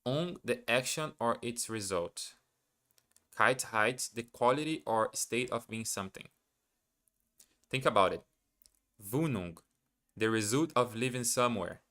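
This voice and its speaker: A man with a Portuguese mother tongue speaks slowly and unhurriedly. His lowish voice is bright and crisp as he talks through word forms, hesitating and correcting himself along the way. He sounds like a learner studying live for viewers. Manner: hesitant, self-correcting, muses aloud. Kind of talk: thinking aloud while studying.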